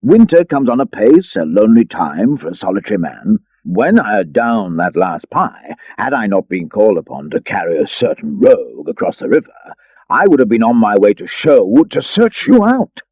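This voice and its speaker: A man's voice: on the low side, big pitch swings, normal speed, darkish tone, breathy edge, no echo, muffled recording, full character voice. A man voicing a merry fat friar in a storybook, with hearty, old-fashioned turns of speech. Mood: jovial. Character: merry fat friar, fond of food and fighting.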